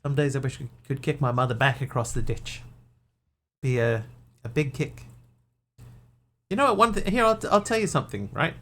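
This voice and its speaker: A man's voice: medium pitch, expressive, normal speed, fairly bright tone. A man with a New Zealand accent tinged with Australian, chatting casually and rambling through stories while streaming a game on a desk mic. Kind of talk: casual gaming chat.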